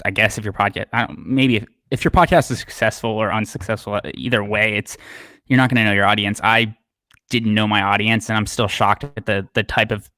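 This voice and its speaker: Man, podcast guest, low voice, dry close sound, filler-heavy rambling. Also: steady tone, fairly quick, sharp tone, no room sound. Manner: self-deprecating, many fillers and restarts. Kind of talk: casual interview chat.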